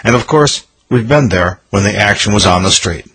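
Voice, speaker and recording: A man narrates a radio show's year in review in an earnest, promotional tone. His voice sits low and carries a husky grain. His intonation rises and falls in a lively way. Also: normal speed, low-quality recording. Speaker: radio show host.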